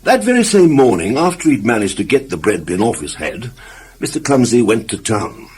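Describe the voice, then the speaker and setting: A man reads a children's story with very lively, swinging intonation. His mid-pitched voice has a husky grain, and he leans on key words as he addresses the listener. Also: normal speed. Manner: expressive, emphatic, addresses the listener. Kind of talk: scripted children's story narration.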